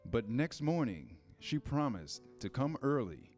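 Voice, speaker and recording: A man's voice: medium pitch, big pitch swings, measured pace, a little mellow, faint music behind. A man reading scripted storybook narration in a staged reader's theater style.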